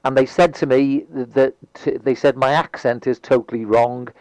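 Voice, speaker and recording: A man's voice: medium pitch, fairly even intonation, normal speed, a little mellow, no echo, highs cut off. A man with a Liverpool accent interviewing a guest, hesitant and full of restarts. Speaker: radio interviewer. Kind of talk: casual interview chat.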